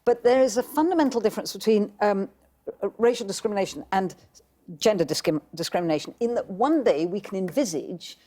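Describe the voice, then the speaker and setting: A woman's voice: medium pitch, animated, a little fast, mellow. Insistent woman stumbling over her words. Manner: hesitant, stammering restarts but insistent. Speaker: panel guest. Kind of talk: studio debate.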